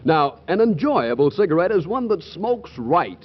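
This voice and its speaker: A man's voice: fairly high pitch, big pitch swings, moderate pace, husky. A man reading a folksy, scripted 1940s radio advertisement as announcer. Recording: muffled 1940s radio broadcast.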